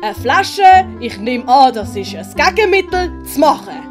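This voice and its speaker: Woman doing a high, childlike clown servant. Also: sing-song, a little slow, over music. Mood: eager explaining. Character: sweet, simple, cheerful male clown servant. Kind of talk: German audiobook commedia dell'arte acting.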